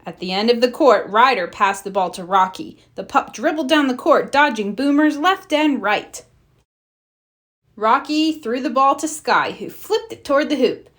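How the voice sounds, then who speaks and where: Female children's read-aloud narrator, higher pitched, very animated, scripted storybook style. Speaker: storybook reader.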